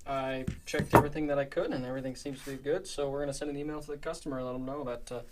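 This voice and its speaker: A man's voice: mid-range voice, fairly even intonation, rapid-fire. A man casually narrating a shop project in an informal, self-deprecating way. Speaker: metal shop worker and vlog host. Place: metal fabrication shop and its office. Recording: distant mic, loud workshop noise, clatter.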